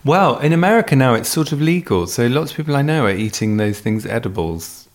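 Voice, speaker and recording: A man's voice: medium pitch, lively intonation, a little fast. A man co-hosting a podcast in casual chat, teasing with a dry sense of humour. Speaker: podcast co-host.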